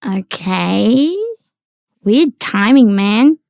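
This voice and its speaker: A woman playing a small, clingy, stubbornly loyal female companion in a fantasy game, awkward and deadpan. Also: medium pitch, drawn-out words, vocal fry, no room sound, clean studio sound, heavily acted.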